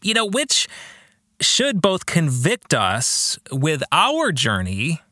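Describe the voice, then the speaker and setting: Bright-voiced man, expressive and measured. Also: fairly high pitch, no room sound, clean studio sound. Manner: earnest, emphatic, deliberate pauses. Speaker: podcast host and pastor. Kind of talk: reflective book discussion with read-out passages.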